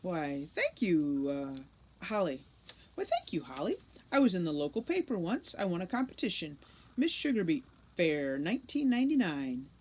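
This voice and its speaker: A woman's voice: fairly low pitch, big pitch swings, normal speed, darkish tone, slight background noise. A woman reading a storybook, voicing a vain, gushing, teasing teenage girl captor. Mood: vain chatty.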